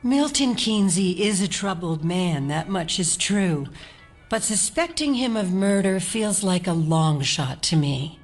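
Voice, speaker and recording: An actress playing an older, unhurried small-town neighbor with a knowing, cryptic manner in an audio drama. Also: female voice, on the low side, sing-song, soft background music. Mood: eerie, probing. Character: eerily calm gray-haired small-town neighbor woman who reads tea leaves.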